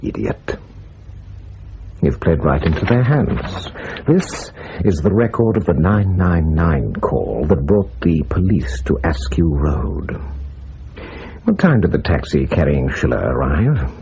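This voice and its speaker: A stern British intelligence chief with a very deep, dark voice. It is heavily husky and cuts with angry authority. The radio drama sound is a little muffled. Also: male voice, sing-song, slow, slight background noise, full character voice. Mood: cold, scathing. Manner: cutting, authoritative.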